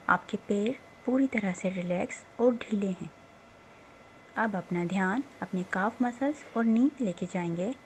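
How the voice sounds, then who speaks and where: Mellow-voiced woman guiding a meditation. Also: medium pitch, slight background noise, low-quality recording. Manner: soothing, unhurried, gently instructing with pauses. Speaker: guided meditation instructor. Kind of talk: slow guided meditation narration.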